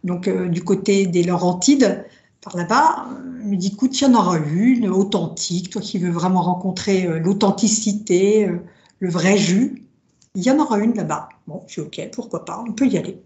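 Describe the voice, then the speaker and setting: A woman's voice: medium pitch, moderate pace, darkish tone. Woman, Italian as first language, hesitant and reflective, roomy echo, dull lo-fi sound. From France, of Italian parents. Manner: hesitant, many fillers, reflective. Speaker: drum maker, guest. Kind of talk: casual interview, personal story.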